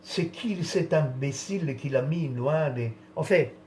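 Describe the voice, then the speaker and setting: A man from France with a slightly raised pitch, venting about politics in a loose, rambling way. He is indignant, restarts often, and lets phrases trail off. A faint ambient hum sits behind him. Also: unhurried, darkish tone.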